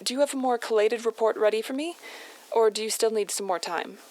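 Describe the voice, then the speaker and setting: A woman's voice: on the high side, a little fast, very noisy, close to an everyday voice. A woman playing a formal, businesslike foundation contact speaking by radio in an audio drama.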